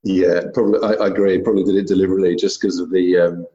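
A man's voice: sits low, flat delivery, very fast, warm tone, roomy sound, dull audio. A British man talking squash in a casual chat, rambling with many fillers and restarts. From Britain.

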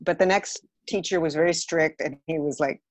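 A woman's voice: sits low, moderate pace, no echo. A woman, telling stories candidly and with self-deprecating humour as a guest in a casual interview. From Los Angeles area, United States. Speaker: podcast guest, theatre director.

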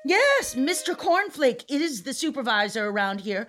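A woman's voice: high voice, very animated, a little slow, music in the background. A woman playing a helpful, wry factory worker in a radio play.

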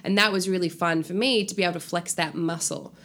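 A woman's voice: on the low side, a little fast. A woman speaking casually and informally as a guest author in an interview. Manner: animated, informal, frequent "like" and swearing.

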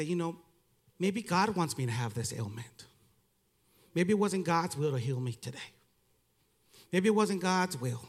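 A man with a Mexican accent preaching a Pentecostal sermon as a missionary, fervently calling for "Amen" from the congregation. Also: high-pitched. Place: church.

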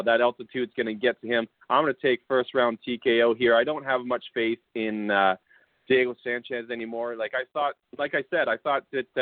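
A man's voice: mid-range voice, no echo. A male host running casual fight picks, laid-back and chuckling, with lots of fillers. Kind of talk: casual fight-pick chat. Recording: dry tinny phone-line audio.

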